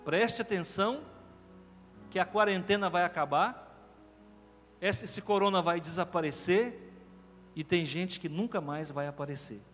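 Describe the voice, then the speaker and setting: A man's voice: high-pitched, a little slow, pauses often, fairly bright tone. A man with a Brazilian Portuguese accent, preaching an emphatic sermon as pastor to his congregation in a large church. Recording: reverberant church hall with faint music.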